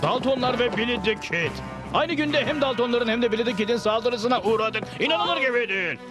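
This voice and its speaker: An actor voicing an excitable, earnest and flustered Old West traveler in a cartoon dub. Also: male voice, very high voice, brisk. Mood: indignant. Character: flustered, indignant stagecoach holdup victim. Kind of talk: Turkish cartoon dub acting. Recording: faint music behind the voice.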